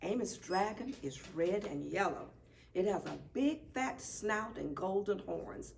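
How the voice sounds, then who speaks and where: A woman reading a children's picture book aloud at story time, expressive and deliberate. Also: medium pitch, vocal fry. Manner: deliberate, animated. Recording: distant mic, faint background noise.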